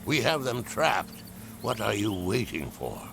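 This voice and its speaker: A man playing a ruthless, bullying general with a gravelly, commanding, menacing delivery in a video game. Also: lowish voice, very animated, measured pace, exaggerated voice. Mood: impatient anger. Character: ruthless, bullying male general hunting rebels. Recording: clear noise behind the voice.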